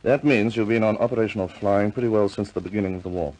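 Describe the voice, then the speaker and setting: A man acting as a senior British air force commander in an old 1950s war film. His voice is low and measured, with clipped formal phrasing and a firm yet fatherly manner. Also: darkish tone, lightly acted, rough recording.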